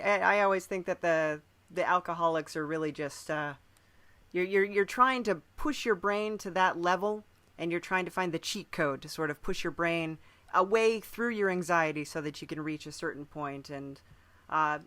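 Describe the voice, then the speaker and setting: A man hosting a casual conversational interview, agreeable and halting, often repeating his phrases. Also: a little high, sharp tone. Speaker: podcast host, interviewer.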